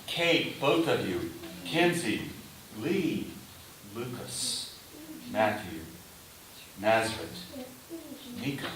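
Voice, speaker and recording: A man delivering a sermon in a deliberate manner, in short phrases with pauses. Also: mid-range voice, fairly bright tone. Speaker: preacher. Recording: roomy echo, distant mic, faint hiss.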